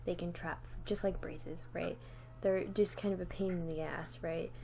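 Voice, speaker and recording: A woman's voice: fairly low pitch, fairly even intonation, moderate pace, creaky, warm tone. A young woman vlogger chatting casually to camera with a slight lisp from a new retainer, rambling with lots of "like" and restarts. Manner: rambling, many "like"s and restarts, light laughs.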